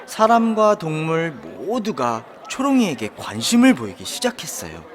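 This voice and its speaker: A man narrating a children's storybook in an expressive, drawn-out way. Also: a little high. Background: background music.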